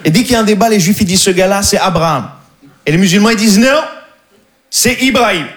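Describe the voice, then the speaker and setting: Animated young stand-up comedian. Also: male voice, high-pitched. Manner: animated storytelling, slows down for punchlines. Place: comedy club stage.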